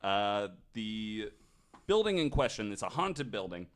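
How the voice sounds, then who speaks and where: A man with a fairly low voice hosts a casual podcast. He tells stories informally, in halting, conversational phrases, as if chatting with friends. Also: very animated. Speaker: podcast host. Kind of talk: casual storytelling among friends.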